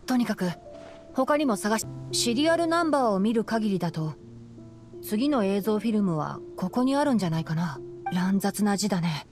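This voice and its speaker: A woman voicing a youthful, analytical male scholar in an anime game, calm, boyish and matter-of-fact. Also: medium pitch, normal speed, music in the background, lightly acted. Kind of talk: anime game voice acting, explanatory dialogue.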